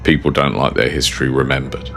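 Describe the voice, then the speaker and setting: A British man voicing a calm, menacing middle-aged retired cartel enforcer in an unhurried, cold, controlled manner for a horror story. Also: extremely low pitch, vocal fry, music in the background. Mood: cold contempt. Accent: British English.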